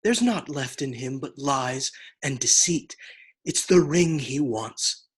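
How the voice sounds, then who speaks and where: A man's voice: mid-range voice, lively intonation, slow, breathy edge. An actor playing a loyal, protective male hobbit who talks bluntly and earnestly. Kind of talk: film acting.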